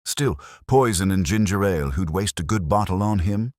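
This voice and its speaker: A man voicing a sharp-tongued older village gossip woman, tart and dismissive. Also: sits low, lively intonation, moderate pace, no room sound, clean studio sound, voice pushed up. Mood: scornful.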